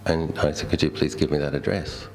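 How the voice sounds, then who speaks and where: Casting: middle-aged Australian male, extremely low register, Buddhist teacher sharing personal anecdotes conversationally and reflectively, light background noise. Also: steady tone, brisk, darkish tone. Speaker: Buddhist monk giving a talk. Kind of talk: dhamma talk with personal storytelling.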